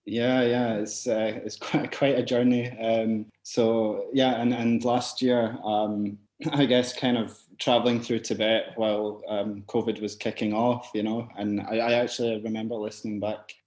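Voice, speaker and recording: A man's voice: fairly low pitch, flat delivery, measured pace, hardly any pauses, darkish tone. A man in his thirties with a Glaswegian Scottish accent, answering interview questions casually and hesitantly. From Glasgow, Scotland. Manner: hesitant, many ums. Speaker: podcast guest.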